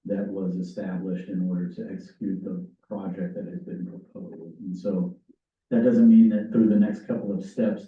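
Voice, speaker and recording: A man giving a formal budget update at a public meeting, in measured, formal phrasing. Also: deep voice. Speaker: staff member giving a budget report. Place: council meeting room. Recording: echoey chamber, distant tinny mic.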